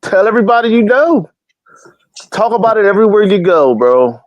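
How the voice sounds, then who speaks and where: A man's voice: high-pitched, sing-song, fairly quick, dry recording, lo-fi sound. A man hosting a casual streamed talk show, rambling in slang and swearing freely as he jokes around. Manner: slangy and rambling, keeps saying "you know what I'm saying". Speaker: host of a live talk show.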